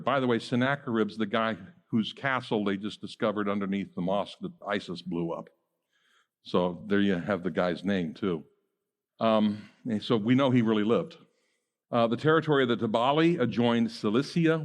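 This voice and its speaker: A middle-aged man preaching a teaching sermon as a pastor, talking conversationally with many fillers and quick asides. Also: medium pitch. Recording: long hall reverb.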